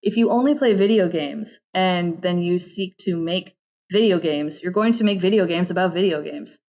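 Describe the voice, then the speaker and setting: A woman with a fairly low voice chats casually as an interview guest. She drops quoted asides into her explanations. The audio is a little dull and lo-fi. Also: normal speed, a little mellow. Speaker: interview guest, game narrative director. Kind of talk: casual chat.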